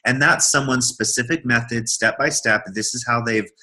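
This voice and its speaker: A man's voice: mid-range voice, fairly even intonation, normal speed, pushed and bright. A man co-presenting a business training, talking casually and repeating words as he thinks aloud. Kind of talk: casual workshop talk.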